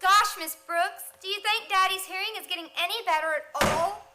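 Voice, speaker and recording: A woman's voice: extremely high pitch, brisk, over loud music. A woman playing a sweet, worried teenage daughter of a school principal in a comic radio play.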